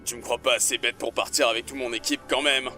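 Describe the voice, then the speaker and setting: A man's voice: mid-range voice, fairly even intonation, quick pace, pushed and bright, soft background music. A man playing a smug, boastful enemy team leader in an amateur audio drama.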